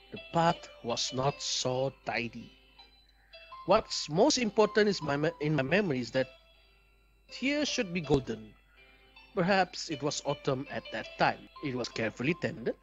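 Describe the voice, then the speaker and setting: A fairly high-voiced man narrates a visual novel playthrough aloud. His pitch swings widely as he reads, and music plays behind him. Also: fairly bright tone, muffled recording. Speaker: video game player and narrator.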